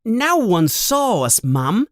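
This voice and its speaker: A man's voice: sits high, very animated, slow pace, pitched above the performer's own voice, exaggerated voice. A man voicing a friendly, easygoing young boy in a storybook reading.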